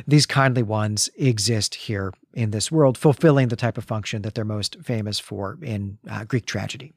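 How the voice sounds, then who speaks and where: A male podcast host talks through literature in a conversational, erudite manner. His voice sits at an ordinary middle pitch, and he picks his phrases thoughtfully. The sound is close and dry. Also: dry recording. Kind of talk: literary discussion, conversational recap.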